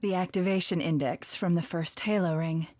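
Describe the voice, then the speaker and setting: A woman voicing a wry, loyal female artificial intelligence in a video game, in an intimate, expressive manner. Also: lowish voice, moderate pace, dry recording, natural voice. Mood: tender, wry. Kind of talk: video game cutscene acting.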